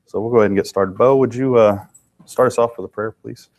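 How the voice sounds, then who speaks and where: A lower-pitched man leads a Bible study, stuffed-up and a little thick in the voice. He talks informally and often restarts a thought mid-sentence. The setting is a church classroom. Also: steady tone, quick pace, a little mellow. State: congested. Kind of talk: conversational Bible lesson.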